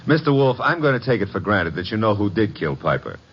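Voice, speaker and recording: Lively, low-voiced wisecracking sleuth. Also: male voice, a little fast, breathy edge. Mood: wry, teasing. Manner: slangy, sardonic, swaggering. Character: breezy, wisecracking, flirtatious male detective's legman. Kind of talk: 1950s radio detective acting. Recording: muffled, noisy vintage radio recording.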